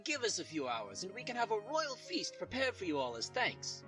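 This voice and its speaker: Male actor as humble peasant for audio drama; pleading, very animated intonation, slightly distant. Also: high voice, normal speed, over loud music. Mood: grateful, pleading. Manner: pleading, deferential. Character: humble, grateful middle-aged male villager. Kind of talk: fan audio drama acting.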